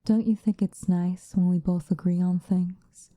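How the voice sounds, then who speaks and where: A possessive vampire mistress played by a woman murmurs in a very dark, mellow, low voice, often sinking into a whisper. She is doting yet menacing, coaxing her lover with condescending sweetness. It is an intimate ASMR roleplay, close on the mic and very dry. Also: level tone, no room sound, close to an everyday voice. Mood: tender, possessive. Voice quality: soft, breathy, often dropping to a whisper. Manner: slow, coaxing, condescendingly affectionate. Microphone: close microphone.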